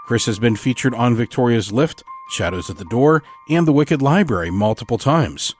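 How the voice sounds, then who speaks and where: Man reading podcast credits over soft music. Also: medium pitch, expressive, normal speed. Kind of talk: scripted podcast outro.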